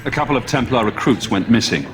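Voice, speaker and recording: An actor playing a determined adventurer in a video game, questioning people in a direct, stern way. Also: male voice, medium pitch, animated, fast talker, lightly acted. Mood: direct, inquiring. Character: firm, determined male adventurer investigating missing recruits. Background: game music.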